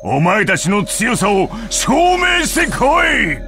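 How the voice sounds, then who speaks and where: An actor playing a manic, belligerent male challenger in a video game, shouting bombastically. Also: high-pitched, huge pitch swings, slow pace, over music, full character voice. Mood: challenging. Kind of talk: video game cutscene acting.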